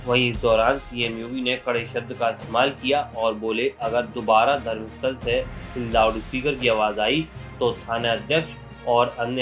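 A man's voice: mid-range voice, a bit bright. Male news narrator over background music. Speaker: news presenter.

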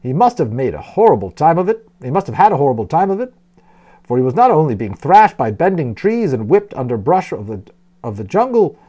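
A man reading a storybook aloud in an expressive, dramatic narrating style. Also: fairly high pitch, a little fast. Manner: dramatic, with brief explanatory asides. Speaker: audiobook reader, storyteller.

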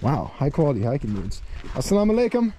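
A man's voice: a little high, wildly swooping pitch, fast talker, mellow, a little hiss or hum. A man in his thirties, a travel vlogger joking as he walks and talks to a handheld camera on a rocky riverside path. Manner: upbeat, joking, walking and talking to a handheld camera. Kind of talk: casual travel vlog. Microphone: handheld camera at arm's length.

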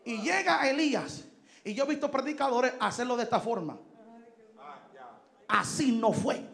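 High-voiced shouting preacher with a gravelly edge. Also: male voice, animated, normal speed. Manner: shouting, emphatic, switching between Spanish and English. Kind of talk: Pentecostal sermon. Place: large church stage indoors. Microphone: handheld microphone.

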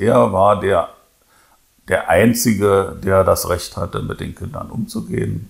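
An older man speaks as an interviewed lawyer in an office. His voice is low and extremely gravelly, and he phrases his answers haltingly. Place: indoor office. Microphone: lapel microphone.

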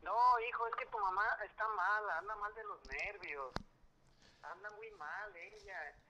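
A man's voice: high-pitched, unhurried, pushed and bright, a little hiss or hum. A middle-aged man, talking casually as a father over a tinny telephone line. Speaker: streamer's father. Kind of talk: casual phone conversation.

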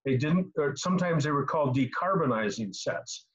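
A man in his sixties giving casual technical advice as a host on a video call, halting and repeating words as he explains. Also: on the high side, lively intonation. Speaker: host answering car repair questions. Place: small home room indoors. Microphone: computer mic on a video call. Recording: slightly dull video call audio.

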